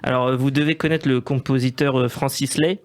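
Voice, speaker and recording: A man's voice: medium pitch, steady tone, fairly quick. A man presenting a scripted comic radio segment, telling it in a hesitant, self-deprecating way. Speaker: radio comedy columnist.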